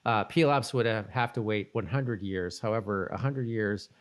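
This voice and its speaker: A man co-hosting a podcast in casual chat, reading out bits of trivia and thinking aloud in a halting way. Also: lowish voice. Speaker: podcast co-host.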